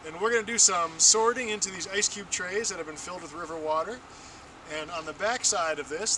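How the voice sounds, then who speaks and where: Young american guy doing outdoor training talk, kinda halting, off the mic w lots of background noise. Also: on the high side. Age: young adult. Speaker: field trainer. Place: outdoors on a wooded riverbank. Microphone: camera mic a few steps away. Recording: distant camera mic, noisy outdoor ambience.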